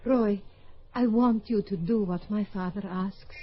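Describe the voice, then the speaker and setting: Determined young woman skater in love; breathy voice, dull old-radio tone. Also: medium pitch, unhurried. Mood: tender. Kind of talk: old-time radio drama.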